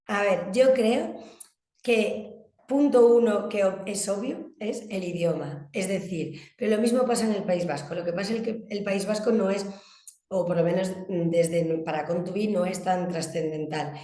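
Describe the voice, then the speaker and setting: A woman's voice: medium pitch, moderate pace, warm tone. Woman from Spain in an echoey room. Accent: Spanish (Spain). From Galicia, Spain. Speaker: interviewed guest, communications agency director. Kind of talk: conversational interview answers.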